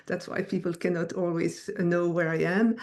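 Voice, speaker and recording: Middle-aged female guest, native French speaker with Americanized French accent. Even, little-varied pitch, halting personal storytelling. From Belgium. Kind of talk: personal storytelling in an online interview.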